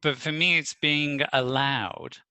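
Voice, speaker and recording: A man panellist speaking in a measured, reflective way on a video-call panel. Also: mid-range voice, fairly even intonation, pushed and bright, clean tone, dry recording. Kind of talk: panel discussion.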